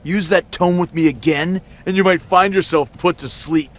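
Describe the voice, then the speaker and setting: A man's voice: high voice, moderate pace, natural voice. A man playing an arrogant, mocking wrestler villain, condescending in a scripted game cutscene.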